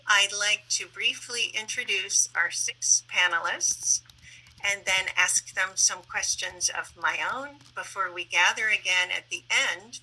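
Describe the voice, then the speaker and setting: Female moderator for an online panel, unhurried and organized, with a very bright, crisp edge. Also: mid-range voice, low-quality recording. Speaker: host and moderator, library staff member. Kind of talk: introducing a panel and asking questions. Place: online video call. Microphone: video call microphone.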